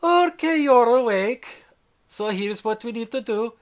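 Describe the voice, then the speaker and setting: A man voicing a brisk, bossy female medic in a tabletop game, giving matter-of-fact instructions. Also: extremely high pitch, expressive, voice pushed up. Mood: brisk, instructing.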